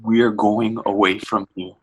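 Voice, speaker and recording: A man's voice: fairly low pitch, flat delivery, moderate pace, husky, rough recording. An actor playing a desperate, tender young man in a dramatic play reading, urgent and pleading, stammering and repeating himself.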